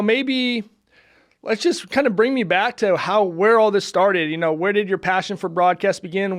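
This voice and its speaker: A man hosting a podcast, upbeat and friendly in casual interview chat, with frequent fillers. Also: high voice. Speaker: podcast host. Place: studio.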